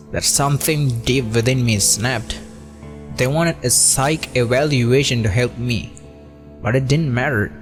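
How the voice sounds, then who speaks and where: A man narrates a scripted horror tale in the first person. His delivery is dramatic and brooding, at a middling pitch, with clear music running behind the voice.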